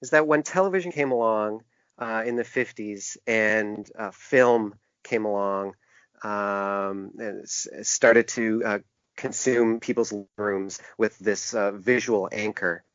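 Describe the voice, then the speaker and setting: Man, fairly low pitch, interview guest, reflective, halting delivery, repeated words, slightly muffled lo-fi recording. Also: fairly bright tone, smooth, dry recording. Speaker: interview guest, publisher and graphic designer. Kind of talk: conversational interview answers. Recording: dull, lo-fi, dry sound.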